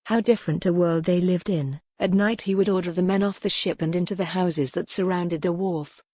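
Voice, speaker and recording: A woman's voice: fairly low pitch, fairly even intonation, a little fast, mellow, no room sound, studio quality. A woman narrating scripted dramatic fiction as an audiobook.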